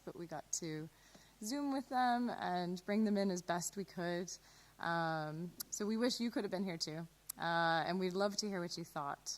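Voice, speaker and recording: A woman, hosting and giving an informal closing address to an online audience. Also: on the low side. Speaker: host.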